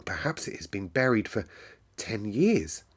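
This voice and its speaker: Man voicing an excited, wonderstruck little girl. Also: fairly low pitch, unhurried, breathy edge, full character voice. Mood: awed whisper. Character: excited, delighted young girl, hushed with wonder.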